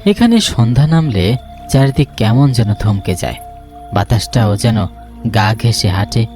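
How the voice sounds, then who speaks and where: A man's voice: fairly low pitch, animated, unhurried, a little mellow. A man narrating a scripted ghost story. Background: background music.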